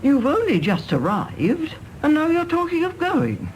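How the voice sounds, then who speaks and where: An actress playing a brisk, fussing, possessive elderly British mother in a radio play. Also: sits high, wildly swooping pitch, crowd noise, heavily acted, rough recording. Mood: brisk, fussy. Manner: brisk, fussy, exclamatory.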